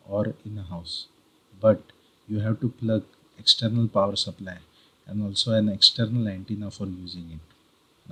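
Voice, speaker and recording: A man with an Indian accent narrating an explanatory tutorial as host, deliberately, step by step in short phrases. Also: lowish voice, fairly bright tone, breathy edge, poor audio. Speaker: host of a how-to video channel.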